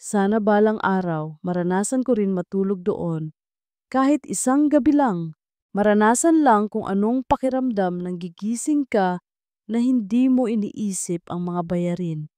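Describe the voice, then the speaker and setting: A woman's voice: medium pitch, expressive, a little slow, dark tone, dry recording, natural voice. A woman voicing a sweet, ambitious young female receptionist in an audio love story. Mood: dreamy, wistful.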